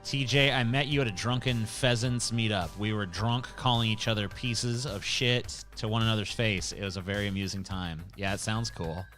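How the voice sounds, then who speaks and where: A man's voice: lowish voice, fairly even intonation, moderate pace, few pauses, a bit bright, soft background music. A man hosting a livestream, reading chat comments aloud in a rambling, profane, casual way. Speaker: livestream host.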